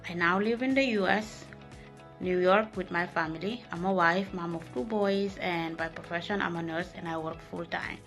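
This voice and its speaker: A woman from Sierra Leone, a nurse and mother, telling a heartfelt story in a soft, sometimes halting way. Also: lowish voice, steady tone, faint music behind. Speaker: nurse sharing a testimonial. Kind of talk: emotional personal testimonial.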